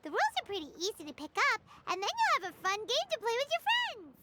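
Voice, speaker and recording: A woman voicing a small, childlike fairy companion in an anime game, perky and excitable. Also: very high voice, fast, heavy background noise, full character voice. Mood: excited, cheerful. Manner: perky, talks of self in third person. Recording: music behind the voice.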